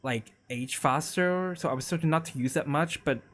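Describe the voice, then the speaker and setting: A man voicing a cheerful young male time wizard with a high voice, rambling and restarting, with slightly broken grammar. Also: normal speed, a bit bright. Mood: easygoing. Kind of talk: roleplay chat.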